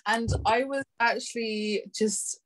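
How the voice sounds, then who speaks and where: A woman's voice: fairly high pitch, fairly even intonation, takes it slowly, poor audio. A woman in her thirties from Brazil with a London accent, chatting casually as a podcast guest, laughing often and talking in a rambling, candid way. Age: 30s. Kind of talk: casual chat.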